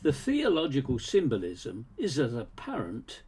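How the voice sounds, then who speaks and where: A man's voice: mid-range voice, wildly swooping pitch, moderate pace, darkish tone, slightly rough. A man reading his own book as scripted narration, in a sardonic, mocking way with wry rhetorical asides.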